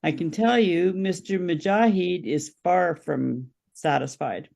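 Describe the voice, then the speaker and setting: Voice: medium pitch, slow, vocal fry, a little mellow. A podcast guest, speaking as a political campaign representative and explaining positions in an interview.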